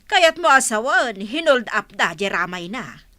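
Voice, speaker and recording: A woman's voice: on the high side, big pitch swings, a little slow, sharp tone, heavily acted. A woman with an Ilocano Filipino accent playing a nosy village woman handing out advice in a comedy radio drama. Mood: nosy, urging. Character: nosy, advising village woman, echoing her friend.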